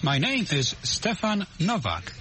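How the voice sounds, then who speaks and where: A man playing a polite traveler from Poland in a scripted language-course dialogue, speaking slowly and deliberately. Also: high voice, wildly swooping pitch, bright, forceful tone, slight background noise, heavily acted. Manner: slow, deliberate, carefully spelled speech.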